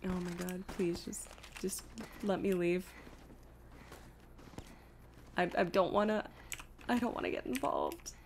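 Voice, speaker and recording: A woman's voice: fairly low pitch, normal speed, gravelly, a little mellow, slight background noise. A woman streaming a video game with casual commentary, thinking aloud in a self-deprecating, exasperated way.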